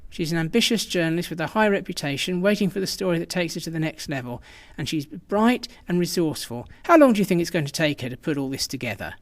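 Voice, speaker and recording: A man's voice: on the high side, lively intonation, quick pace, hardly any pauses. A man acting in a radio drama as a ruthless intelligence chief, brusque, assured and coolly dismissive. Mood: stern, urgent.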